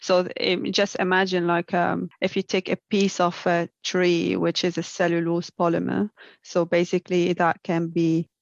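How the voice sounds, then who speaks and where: A woman's voice: lowish voice, flat delivery, moderate pace, creaky, no echo. Woman explaining with many ums. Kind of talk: casual science chat. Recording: dull lo-fi sound.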